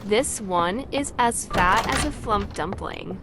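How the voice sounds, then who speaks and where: Storybook witch, played by a female reader: scatty, kindly, exclamatory, taking her time, clear noise behind. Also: medium pitch, animated, slow, voice pushed up. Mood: delighted. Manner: exclamatory, emphatic storybook delivery.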